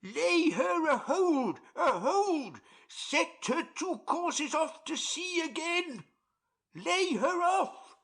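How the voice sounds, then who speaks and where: Man acting a high-pitched bellowing storm sailor. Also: big pitch swings, drawn-out words, a bit bright, full character voice. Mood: urgent, commanding. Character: desperate male sailor bellowing orders in a storm. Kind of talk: dramatic audiobook acting.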